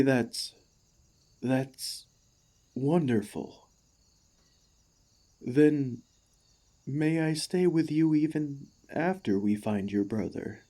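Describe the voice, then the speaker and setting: Man acting a slow, mellow, gentle warforged fighter. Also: medium pitch. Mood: moved, hopeful. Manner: earnest, formal, halting speech. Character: emotional, excitable warforged ex-gladiator, gentle and devoted. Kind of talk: ASMR roleplay acting.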